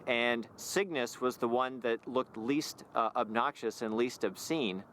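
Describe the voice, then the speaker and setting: A company founder in an interview, recounting how the business started in a matter-of-fact way. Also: male voice, medium pitch, a little slow, a bit bright, slight background noise. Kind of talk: interview answers.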